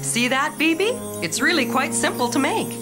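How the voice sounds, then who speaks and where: A gentle cartoon witch mom. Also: sits high, animated, normal speed, music in the background. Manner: gentle, patient. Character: warm patient witch mother.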